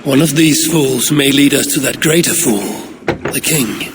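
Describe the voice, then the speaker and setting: An actor voicing a steady Viking warrior leader who speaks in calm, terse, commanding lines. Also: male voice, medium pitch, a little slow, background noise, close to an everyday voice. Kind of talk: video game cutscene. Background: music.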